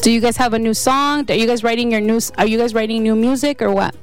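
A woman's voice: fairly high pitch, fairly even intonation, a little fast, soft background music. A woman hosting a casual interview, switching between Spanish and English in a chatty, teasing way. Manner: chatty and teasing, laughs often. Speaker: interview host.

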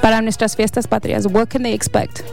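A woman's voice: a little high, level tone, normal speed, vocal fry, darkish tone, soft background music. A woman hosting a casual interview, switching between Spanish and English in a chatty, teasing way. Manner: chatty and teasing, laughs often. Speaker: interview host.

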